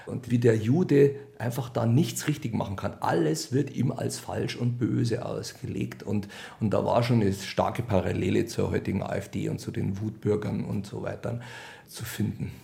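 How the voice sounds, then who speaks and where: Low, gravelly Bavarian man talking casually. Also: fairly even intonation, normal speed, mellow. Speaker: radio play author and director being interviewed. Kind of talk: casual interview talk.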